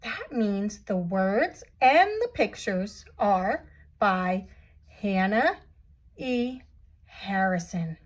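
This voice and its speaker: Very slow, animated woman reading to children. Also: medium pitch. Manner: expressive, theatrical, exaggerated reactions. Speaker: children's story reader. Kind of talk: animated read-aloud for young children.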